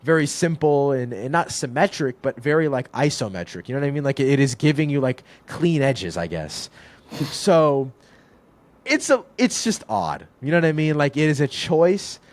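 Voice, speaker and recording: A man's voice: mid-range voice, expressive, normal speed. A young man in casual podcast talk about films, rambling with lots of filler words and restarts. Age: young adult. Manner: rambling, full of "like" and "you know what I mean". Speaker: podcast co-host. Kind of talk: casual film discussion.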